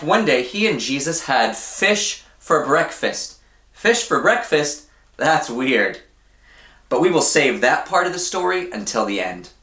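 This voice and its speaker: Animated, slow-paced man reading to children. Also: on the high side, roomy sound. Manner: deliberate, expressive, asks the listeners questions. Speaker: church storybook reader for children. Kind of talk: animated read-aloud storytelling for children.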